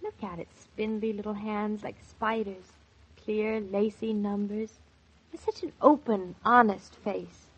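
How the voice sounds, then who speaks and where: An actress playing a wide-eyed, whimsical young newlywed in a 1940s radio drama. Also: female voice, mid-range voice, fairly even intonation, measured pace, slightly rough. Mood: enchanted. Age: young adult. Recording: dull lo-fi 1940s radio recording.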